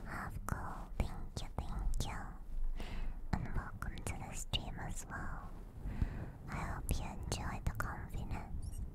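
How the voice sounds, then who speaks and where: A woman streamer whispering breathily in a soothing, gentle way, chatting casually in ASMR style close to a binaural microphone. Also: slow pace. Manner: soothing, gentle personal attention. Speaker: ASMR streamer hosting a live chat. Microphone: close binaural stereo microphone.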